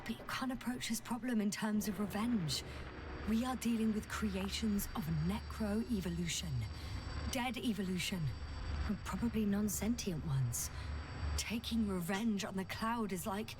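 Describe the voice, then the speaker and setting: A woman's voice: mid-range voice, measured pace, background noise, lightly acted. An actress playing a level-headed, wry female scientist in a sci-fi video game, speaking frankly and with reason. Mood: earnest, reasoning.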